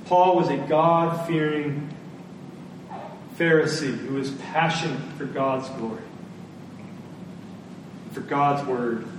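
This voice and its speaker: A man's voice: a little high, fairly even intonation, takes it slowly, pauses often. A man preaching a sermon as a pastor, earnest and emphatic, repeating key phrases. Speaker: pastor preaching to his church. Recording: roomy, distant mic, faint noise.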